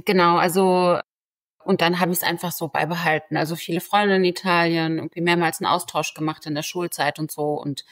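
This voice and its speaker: A young woman whose first language is Turkish, a lawyer answering interview questions in a relaxed, chatty way. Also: lowish voice, a little fast. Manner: relaxed, chatty, full of fillers like "genau". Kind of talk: casual conversation.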